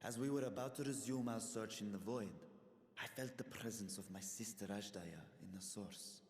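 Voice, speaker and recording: A man's voice: on the low side, moderate pace, darkish tone, lightly acted. An actor voicing a grave, stately male dragon in a video game, formal and solemn. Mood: solemn, urgent. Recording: large echoing hall.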